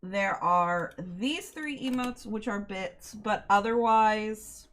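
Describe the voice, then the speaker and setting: A woman hosts a livestream in a voice of middling pitch. She talks casually to her viewers, in a giggly and apologetic manner. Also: takes it slowly, fairly bright tone. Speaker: livestream host. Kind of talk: casual stream chat to viewers.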